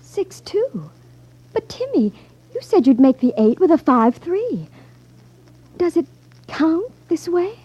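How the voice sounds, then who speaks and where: A woman's voice: very high voice, sing-song, slow pace, pauses often, dark tone, exaggerated voice. An actress playing a naive, girlish sister, gushing and wide-eyed, in 1950s radio drama style. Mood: innocent, puzzled. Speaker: radio actor. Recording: dull 1950s radio recording.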